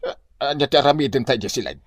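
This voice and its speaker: A man's voice: mid-range voice, lively intonation, a little fast, no echo, clean studio sound, natural voice. A man playing a grumbling, put-upon old Filipino husband with an Ilocano accent in a broad comic drama. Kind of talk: broad comic radio-style drama acting.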